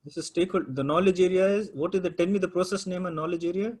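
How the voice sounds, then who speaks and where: A man's voice: high-pitched, fairly even intonation, racing, a little mellow, slightly rough. A man whose first language is Urdu, teaching a project management class and prodding students with questions.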